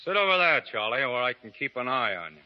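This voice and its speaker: An actor playing a calm, commanding frontier lawman in a 1930s radio drama, speaking in a dry, unhurried drawl. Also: medium pitch, lightly acted. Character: cool, steely male frontier gunfighter lawman, middle-aged. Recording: muffled 1930s radio broadcast.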